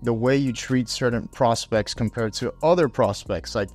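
A man's voice: mid-range voice, moderate pace, soft background music. A young man from Miami, a podcast guest, talking through his story in a casual interview with a lapel mic in a small room. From Miami, USA. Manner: animated, gestures, uses fillers like "um" and "right?". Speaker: podcast guest, sales executive. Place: small room with armchairs, indoors.

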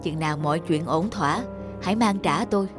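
A woman playing a gentle, devoted middle-aged florist in an animated drama, speaking softly and reflectively. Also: fairly low pitch, fairly quick, natural voice. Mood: kindly. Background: background music.

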